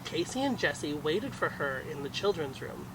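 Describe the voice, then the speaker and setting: Male children's storyteller, expressive and swooping, slightly high voice, noisy recording. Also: normal speed, recorded from a distance. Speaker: storytime reader. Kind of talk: expressive picture-book reading with asides to children.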